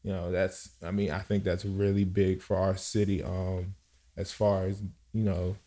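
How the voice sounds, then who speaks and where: A man's voice: sits low, level tone, normal speed, warm tone. A man in his early thirties from Cameroon, chatting casually as a podcast guest and often searching for his words. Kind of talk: casual chat.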